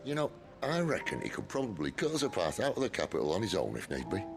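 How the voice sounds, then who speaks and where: An actor playing a gruff, wry rebel leader in a video game, easygoing and teasing, with a gravelly voice. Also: male voice, low-pitched, huge pitch swings, quick pace, heavily acted. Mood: wry banter. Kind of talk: video game drama acting. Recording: music under the dialogue.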